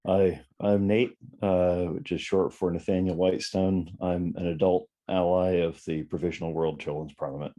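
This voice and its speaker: A man's voice: sits low, fairly even intonation, normal speed, few pauses, dark tone. A man introducing himself briefly on a group video call. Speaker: adult ally of a youth group.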